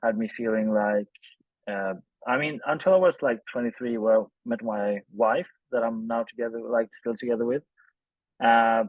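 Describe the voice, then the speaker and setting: Interview guest: male, thirties, mid-pitched, informal halting storyteller, slightly dull close recording. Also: steady tone, dry recording. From Sweden. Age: 30s. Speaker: interview guest, film director. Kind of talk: casual personal storytelling in an interview.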